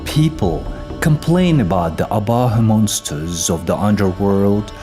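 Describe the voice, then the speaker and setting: A man reading scripted narration of a folk epic in a formal, measured storytelling manner. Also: on the low side, lively intonation, mellow. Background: music.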